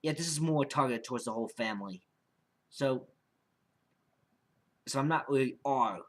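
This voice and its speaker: Rambling, halting young man, casual vlogger. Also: mid-range voice. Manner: rambling, halting, repeats and restarts phrases. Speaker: amateur podcast creator. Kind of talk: casual unscripted vlog monologue.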